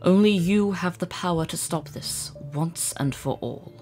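A woman's voice: lowish voice, a little slow, darkish tone, faint music behind, natural voice. A woman voicing a stern, imposing female alien gem commander in a dramatic cartoon dub, grave and commanding. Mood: stern, urgent.

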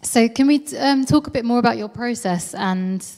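A woman's voice: a little high, moderate pace, darkish tone. A woman hosting a stage interview into a handheld mic, friendly and a little hesitant, with many ums. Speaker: interview host. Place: large indoor event space at a museum, on stage. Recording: long hall reverb.

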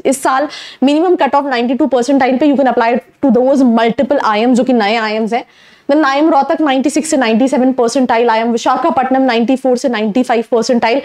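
A woman's voice: sits high, a little mellow. A young woman educator giving a video lesson in a studio, switching between two languages, reading out lists of figures and gesturing at a screen, on a lapel mic. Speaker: MBA admissions educator. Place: studio with a screen behind her.

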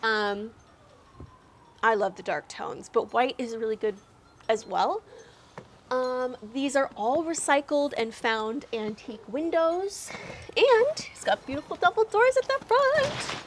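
A woman's voice: high-pitched, expressive, takes it slowly, slight background noise. A young woman from Canada giving a chatty, upbeat walking tour of her snowy backyard garden, talking into a lapel mic outdoors and laughing often. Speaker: garden vlogger.

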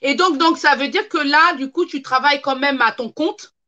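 A woman in her thirties hosts a live online interview from a room at home. Her voice is high and bright, with a sharp, forward edge. She talks in a chatty, encouraging way, stacking fillers before each question. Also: moderate pace, dull audio. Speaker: host.

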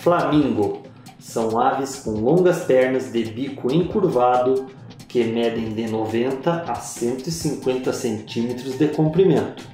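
Slow echoey male narrator. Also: medium pitch, warm tone. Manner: slow, measured reading with pauses. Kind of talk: scripted educational narration. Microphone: voice-over. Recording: very echoey voice-over with music.

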